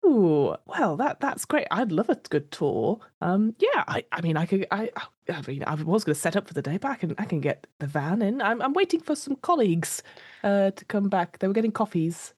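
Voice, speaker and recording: A woman's voice: mid-range voice, big pitch swings, moderate pace, darkish tone, slightly rough, dry recording, studio quality. A woman playing a nosy, flustered investigator in an improvised tabletop roleplay, hesitant and rambling as she breezily bluffs. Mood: flustered, bluffing.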